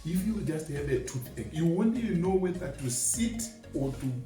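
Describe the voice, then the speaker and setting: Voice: mid-range voice, animated, moderate pace, dark tone, natural voice. An adult speaker playing a friendly, encouraging mentor who explains things informally and emphatically with homely examples, in a dramatized conversation. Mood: amused, instructive. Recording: echoey room, distant mic, faint music behind.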